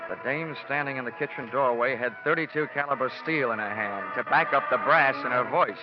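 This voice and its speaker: An actor playing a wisecracking, cynical insurance investigator in a mid-century American radio detective drama. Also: male voice, medium pitch, a little fast, natural voice. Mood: wry, hardboiled. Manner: wry, cynical, slangy. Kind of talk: 1940s-50s radio detective acting. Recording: dull vintage radio recording, music behind.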